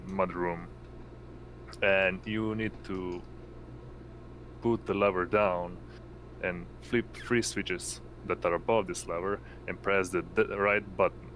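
A man narrating a tabletop roleplaying game as game master, halting as he searches for words. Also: low-pitched, level tone, a bit bright, slight background noise. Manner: halting, often pausing to find words.

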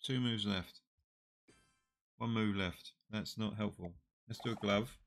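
A man in his forties with a slightly nasal voice from a cold, quietly talking through a mobile puzzle game on a headset. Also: lowish voice, creaky. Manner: slow, muttering play-by-play, often trailing off. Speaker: gaming channel host. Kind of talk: casual mobile game commentary. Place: small room indoors.

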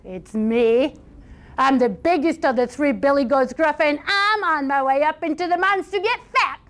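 A woman's voice: high-pitched, lively intonation, normal speed, a bit bright. A woman voicing a huge, confident storybook billy goat who issues challenges in a chanting rhythm. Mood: bold, defiant. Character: huge bold biggest male billy goat, defiant.

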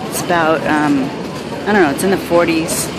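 A woman's voice: deep voice, moderate pace. A woman filming herself on a city sidewalk, chatting unhurriedly about what she passes, often laughing. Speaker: vlogger walking the city. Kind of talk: casual walking vlog narration. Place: outdoors on a busy city sidewalk. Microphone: handheld camera. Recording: loud street traffic noise.

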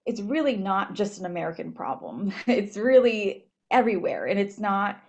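A woman's voice: medium pitch, animated, moderate pace, creaky, muffled recording. A young woman in her twenties from Japan, talking thoughtfully in a small room on a laptop webcam, with many fillers and restarts. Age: 20s. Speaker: panel speaker, graduate student. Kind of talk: reflective personal talk on a video call. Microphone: laptop webcam, video call.

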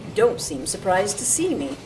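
A woman's voice: medium pitch, very animated, normal speed, darkish tone, close to an everyday voice. A woman playing a coerced, defensive young actress in an audio drama, earnest and formal in her diction. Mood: wary. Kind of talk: audio theatre acting. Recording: distant mic, background noise.

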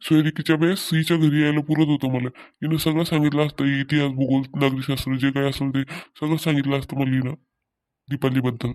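A man's voice: medium pitch, little pitch movement, quick pace, a little mellow, close to an everyday voice. A man playing a mild, henpecked village husband in a comedy cartoon, speaking in a placating, hesitant way with a rural Maharashtrian accent. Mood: wry. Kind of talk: Marathi comedy cartoon dialogue.

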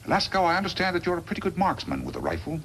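An actor playing a smug, calculating crooked lawyer and boss in a 1950s radio western, with an insinuating manner. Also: male voice, on the high side, huge pitch swings, rapid-fire, fairly bright tone. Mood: sly, plotting. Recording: noisy vintage 1950s radio recording.

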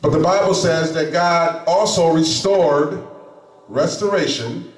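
A middle-aged man preaching a sermon in a small chapel into a handheld microphone, emphatic and pausing often. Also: fairly high pitch. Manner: slow, emphatic preaching with pauses. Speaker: lay preacher. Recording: echoey room, camera at a distance.

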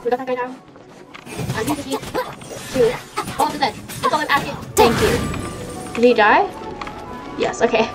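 A woman's voice: high-pitched, normal speed, long pauses. A woman doing casual gameplay commentary, chattering reactively and exclaiming as she goes. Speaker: gaming video host. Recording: loud game audio and music behind.

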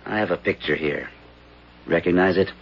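An actor in a 1970s radio drama playing a calm government investigator who explains things in a measured way. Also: male voice, low-pitched, vocal fry, breathy edge, exaggerated voice. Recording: dull 1970s radio broadcast.

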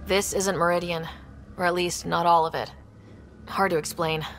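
Wry, determined young space explorer. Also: female voice, lowish voice, fairly even intonation, normal speed, fairly bright tone, slight background noise. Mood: matter-of-fact. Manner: informal, plain-spoken, wry. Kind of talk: video game cutscene acting.

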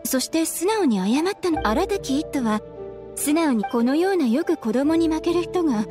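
A woman playing a polite, earnest young shopkeeper in a video game, speaking in a measured way. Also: sits high, lively intonation, music in the background. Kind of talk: video game dialogue acting.

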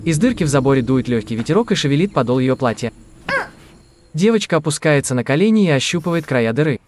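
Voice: mid-range voice, lively intonation, fast talker, noisy background. A narrator reading scripted audio description for a cartoon. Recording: music under the voice. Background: prominent soundtrack music.

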